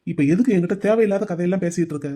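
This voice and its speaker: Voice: medium pitch, racing, warm tone, pitched below the performer's own voice, close to an everyday voice. A performer voicing a curt, distant elder brother who speaks in a clipped, dismissive way in an audio drama. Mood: irritated.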